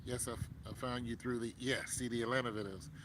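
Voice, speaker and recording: A man's voice: medium pitch, steady tone, fast talker, background noise. A man in his fifties talking casually to a viewer, rambling with many fillers and restarts. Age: 50s. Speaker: vlogger.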